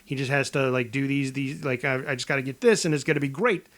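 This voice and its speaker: A man co-hosting a podcast, talking casually in a halting, rambling way as he improvises a pitch. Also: a bit bright. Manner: halting, rambling delivery with false starts. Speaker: podcast co-host.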